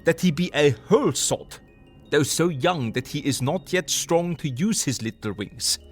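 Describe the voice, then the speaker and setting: An older scholar for an audio drama. He speaks slowly in a slightly high male voice, earnest and emphatic, with quaint grammar and rhetorical questions. Music plays underneath. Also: lively intonation. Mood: fervent, excited. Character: older male foreign professor, earnest, fervent, philosophical lecturer.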